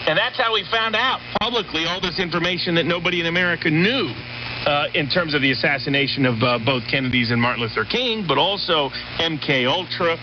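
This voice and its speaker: A man's voice: a little high, expressive, fairly quick. A man giving unscripted political analysis as an interview guest, emphatic and building his points in lists and repeated phrases. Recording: dull, noisy shortwave radio relay.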